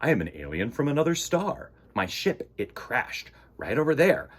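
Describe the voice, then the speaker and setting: Friendly three-eyed alien for a picture book man performing, quirky and polite, animated pitch. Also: moderate pace. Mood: friendly, pleading. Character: friendly green three-eyed, two-mouthed space alien.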